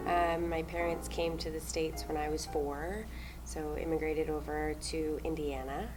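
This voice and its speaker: A young adult woman from New Zealand, a stage manager answering interview questions in a loud, upbeat way, often laughing. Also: sits low, steady tone, unhurried, over music, rough recording.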